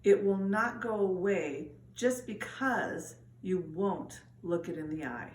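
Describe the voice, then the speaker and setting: Heard away from the mic in a roomy space, a woman reads verse solemnly. She is slow, with slight noise behind her. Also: medium pitch, lively intonation. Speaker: poetry reader. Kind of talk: solemn spoken poetry reading. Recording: echoey, distant, faintly noisy.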